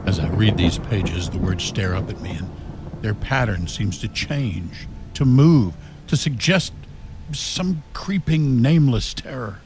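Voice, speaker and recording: A man playing a troubled diary reader, narrating a radio horror drama with deliberate, dramatic dread. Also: lowish voice, lively intonation, vocal fry, noisy background. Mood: brooding dread. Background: music, thunderstorm and rain.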